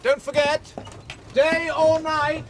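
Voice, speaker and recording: A man's voice: very high-pitched, level tone, takes it slowly. An actor playing a solicitous, learned country doctor in a radio drama, earnest and educated in his speech. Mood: concerned. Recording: outdoor ambience, water and vehicle noise.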